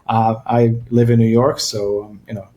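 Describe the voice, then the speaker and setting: A man speaks as a podcast guest in a casual chat. He talks softly and hesitantly, filling gaps with ums and letting his sentences trail off quietly. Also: medium pitch, little pitch movement, normal speed. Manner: soft-spoken and hesitant, many fillers, trailing off quietly. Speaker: guest, company co-founder.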